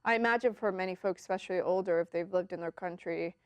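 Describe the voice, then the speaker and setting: A woman's voice: on the low side, fast talker, dry recording. A woman in her twenties from Colombia, a native Spanish speaker who grew up partly in Florida, answering interview questions in an informal, conversational way. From Colombia, moved to Florida as a child. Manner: informal, frequent "like" and "you know". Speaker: interview guest, venture capitalist.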